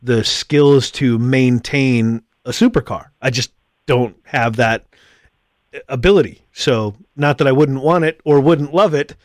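A middle-aged American man hosts a casual interview in a medium-pitched voice. He is wordy and halting, rephrasing as he thinks aloud, and the sound is very dry and close. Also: dry recording. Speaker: podcast host.

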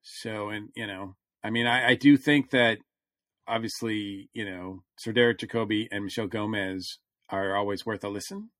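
A man's voice: fairly low pitch, steady tone, normal speed, a bit bright, no room sound, studio quality. A man co-hosting a science fiction podcast, talking casually and often searching for his words mid-thought. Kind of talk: casual, fannish panel chat.

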